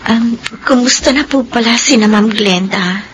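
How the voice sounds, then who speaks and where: Meek young Filipino mother played by a woman, high-pitched and grateful, radio serial with faint noise. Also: measured pace, natural voice. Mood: humble, grateful. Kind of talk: Filipino radio drama acting.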